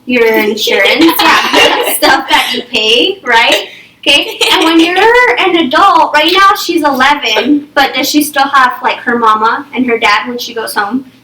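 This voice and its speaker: Young lady teacher, bright voice a little high, keeps asking okay? right?, echoey classroom from a distance. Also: a little slow. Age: young adult. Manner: checks in with "okay?" and "right?" constantly. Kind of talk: classroom think-aloud lesson. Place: classroom with a small group. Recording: echoey room, far from the mic.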